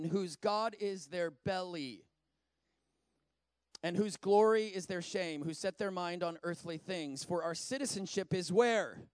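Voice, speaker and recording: A man in his forties preaches in a lively, chatty way, swinging from scripture reading into excited asides. His voice sits high and carries smooth, resonant. The sound is dry and close. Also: no echo. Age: 40s. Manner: animated, conversational preaching with asides. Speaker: pastor. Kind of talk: sermon.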